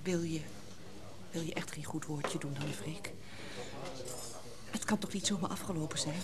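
A woman's voice: sits low, normal speed, heavy background noise, natural voice. A woman playing a domineering, jealous wife who pleads insistently and with self-pity in a Dutch radio play. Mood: desperate, self-pitying. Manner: insistent, self-pitying, faltering. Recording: loud crowd chatter of a café scene.

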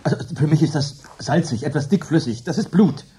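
An actor playing a shaken middle-aged dinner host, speaking in a hushed, disbelieving way in a radio play. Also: male voice, a little high, expressive, a little fast, close to an everyday voice.

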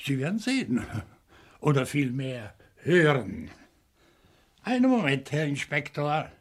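An actor playing a courteous, bookish professor in a German radio crime play, speaking precisely and deliberately. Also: male voice, a little high, big pitch swings, heavily acted. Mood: polite, measured. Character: courteous, bookish professor, a night owl with a dog.

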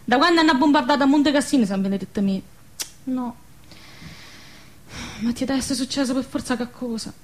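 An actress playing an excitable, hopeful wartime village girl in an emotional dialect radio drama, with a central-southern Italian accent. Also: high-pitched, fairly quick, long pauses, close to an everyday voice. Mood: puzzled, earnest. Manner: emotional, exclamatory.

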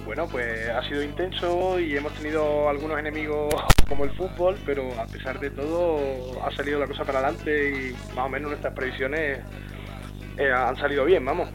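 A man being interviewed over a mobile phone, answering in a relaxed, informal way. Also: on the high side, fairly quick, music in the background. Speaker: guest, comic organiser.

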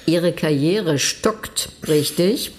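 A woman's voice: deep voice, very slow. A bored official in a radio drama. She speaks curtly, with dry scepticism and a dismissive manner. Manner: curt, dismissive. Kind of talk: radio play acting.

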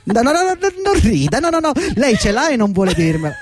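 A man's voice: extremely high pitch, very animated, fast, slight background noise. An actor playing an anxious, fawning long-serving office employee in a radio drama, pleading hesitantly and deferentially as he rambles. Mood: pleading, nervous cheer. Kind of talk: theatrical radio drama dialogue.

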